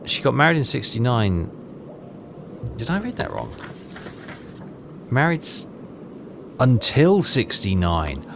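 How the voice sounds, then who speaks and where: A man's voice: medium pitch, big pitch swings, slow pace, vocal fry, pauses often, noisy background. A man doing casual let's play commentary, muttering and questioning himself as he thinks aloud. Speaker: video game player and commentator. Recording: game music behind the voice. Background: game music.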